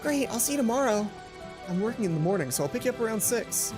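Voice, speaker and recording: A woman voicing a gentle, playful, slightly shy teenage boy in a visual novel romance, speaking politely. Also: fairly high pitch, expressive, fast talker, over music, pitched above the performer's own voice, natural voice. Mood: friendly.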